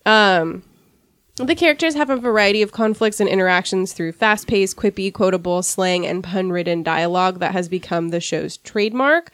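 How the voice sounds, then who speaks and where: A woman's voice: mid-range voice, moderate pace, no room sound, studio quality. A woman from rural Washington hosting a casual podcast discussion, sniffly from a cold and chatty, with wry asides. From rural Washington, USA. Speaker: podcast host.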